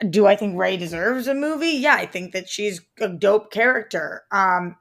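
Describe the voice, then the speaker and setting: A young adult woman co-hosting a casual pop-culture podcast, chatty and halting, often breaking off mid-sentence. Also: medium pitch, vocal fry. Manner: chatty, halting, many fillers and restarts. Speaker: podcast co-host.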